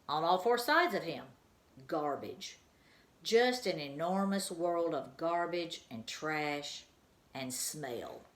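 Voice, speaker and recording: A teacher reads a children's book aloud in a low voice. She tells the story in an expressive way. Her voice has a roomy echo around it.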